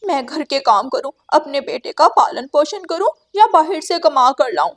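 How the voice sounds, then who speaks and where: A woman puts on a crafty crow wife for a children's cartoon. Her voice is high and insistent, with a complaining edge. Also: expressive, normal speed. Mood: aggrieved.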